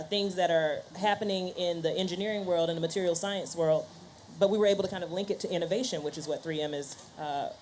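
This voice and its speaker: A woman's voice: lowish voice, darkish tone, a little hiss or hum. A woman podcast host speaking casually on a panel, checking her points with the listener as she goes. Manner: casual, ends points with "right?". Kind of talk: conversational panel talk.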